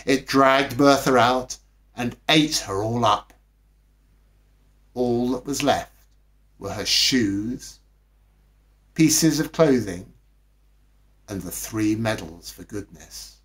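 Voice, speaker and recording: A man reading a scripted bedtime story as narrator, with expressive, dramatic delivery. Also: mid-range voice, takes it slowly, long pauses. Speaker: storybook reader.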